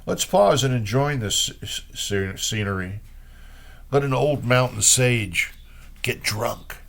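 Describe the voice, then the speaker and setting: Slow-paced man reading poetry aloud. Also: medium pitch, animated, vocal fry, fairly bright tone. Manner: unhurried, pausing between short phrases. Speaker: poetry reader and host. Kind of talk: poetry reading with brief spoken commentary.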